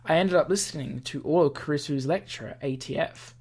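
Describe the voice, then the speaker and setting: A woman's voice: low voice, brisk. A woman doing a casual let's play, reading visual novel text aloud and breaking in with chatty comments of her own. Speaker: gaming video host. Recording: game music behind the voice. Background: video game music.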